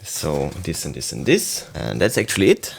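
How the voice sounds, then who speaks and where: A man's voice: rumbling low, huge pitch swings, moderate pace, gravelly, slightly rough. A man talking casually and enthusiastically through a hands-on product unboxing and review.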